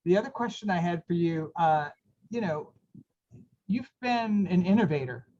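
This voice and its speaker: A man's voice: high-pitched, animated, normal speed, darkish tone, lo-fi sound, a little hiss or hum. Enthusiastic male radio host interviewer. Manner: enthusiastic, short affirmations. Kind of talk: casual interview.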